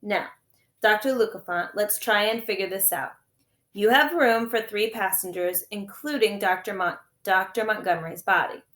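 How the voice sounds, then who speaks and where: Storybook gentleman, fussy and reasonable, politely firm a woman reading the part, echoey room. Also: mid-range voice, normal speed, lightly acted. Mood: gently firm. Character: fussy, reasonable male family guardian, mildly stern.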